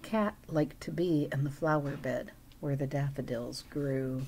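A middle-aged woman reading a picture book aloud as a storytime reader, with chatty asides and expressive, drawn-out emphasis. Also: a bass voice, a little hiss or hum. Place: small room at home.

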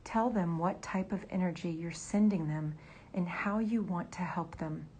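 A woman leading a guided meditation in a soft, breathy near-whisper. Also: fairly low pitch, normal speed, slight background noise.

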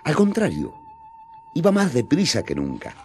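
A man's voice: a little high, huge pitch swings, normal speed, darkish tone. A man narrating a radio drama in a solemn, theatrical storytelling manner. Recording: music under the narration.

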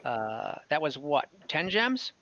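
Game master, young man, bright and a bit high, laid-back and rambling, dull call audio. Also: slow pace. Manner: relaxed, rambling, many fillers. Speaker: dungeon master. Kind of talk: running an online tabletop game. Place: home room.

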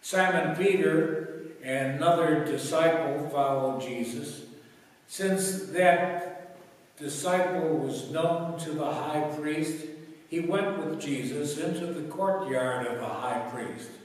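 An older man gives a solemn scripture reading, slow and fairly high in pitch. His voice rings through a large, very echoey church, picked up from a distance. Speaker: church lay reader. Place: brick-walled church sanctuary at a lectern.

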